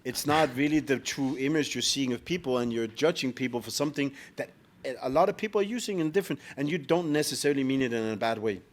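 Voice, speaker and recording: This is a man from Denmark in a loose, unfiltered podcast conversation. His voice sits at a medium pitch for a man. He speaks bluntly as a co-host and swears freely as he goes. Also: quick pace. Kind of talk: unfiltered casual chat.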